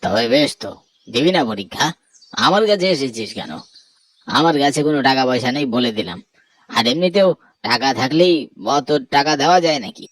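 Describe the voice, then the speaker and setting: A man's voice: medium pitch, expressive, brisk, pauses often, a bit bright, no room sound, close to an everyday voice. A man voicing a greedy, cruel older brother in a Bengali horror cartoon, scornful and domineering. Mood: scornful, threatening.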